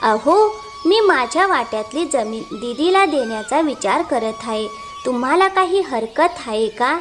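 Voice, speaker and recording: A gentle, selfless young village wife voiced by a woman in an animated moral tale. The voice is high and melodic, earnest and respectful, over light background music. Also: measured pace. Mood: kind, earnest. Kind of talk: animated moral story dialogue.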